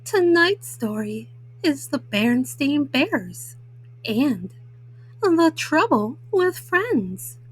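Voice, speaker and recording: High-voiced, slow-paced woman storyteller. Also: sing-song, low-quality recording. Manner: measured, child-directed delivery. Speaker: storytime host and reader. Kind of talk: children's picture-book storytelling.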